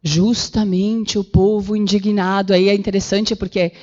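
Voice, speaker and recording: A woman giving an animated public lecture with slides in a council chamber, acting out the voices she quotes. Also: mid-range voice, moderate pace, highs cut off. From Brazil. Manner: animated, acts out quoted voices, many fillers. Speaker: guest lecturer.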